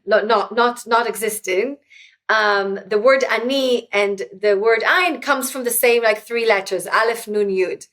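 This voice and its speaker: A woman's voice: mid-range voice, fairly bright tone. A middle-aged woman whose first language is Hebrew, talking earnestly as an interview guest and often restarting her sentences. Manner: earnest, many restarts and fillers. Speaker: guest, author. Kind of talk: reflective interview talk.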